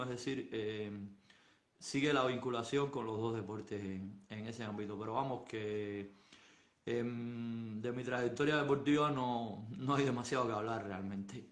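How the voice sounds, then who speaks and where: A middle-aged man from Cuba, telling his story slowly in a live-stream interview, pausing often to search for words. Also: mid-range voice, roomy sound. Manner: unhurried, thoughtful storytelling with many pauses and fillers. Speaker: softball coach. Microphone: phone on a live video stream.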